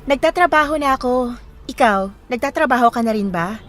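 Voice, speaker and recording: A woman's voice: sits high, animated, fairly quick. An actress playing a friendly, inquisitive young woman in a radio drama, speaking casually. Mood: teasing, friendly. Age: young adult. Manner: casual, conversational. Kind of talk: naturalistic radio drama dialogue in Tagalog.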